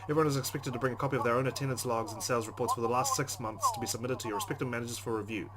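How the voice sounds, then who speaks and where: A man's voice: mid-range voice, fairly even intonation, rapid-fire, over a noisy crowd. A man hosting a let's play, reading visual novel narration aloud in a dramatic way. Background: game music.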